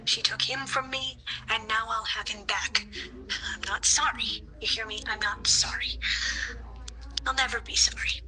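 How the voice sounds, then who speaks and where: Woman acting a spiteful gloating sister, very forceful edgy tone, hissed monologue, radio drama. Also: a little high, fairly even intonation, unhurried, long pauses, rough recording. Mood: venomous gloating. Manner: venomous, hissed inner monologue. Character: bitter, jealous woman gloating over her sister's death.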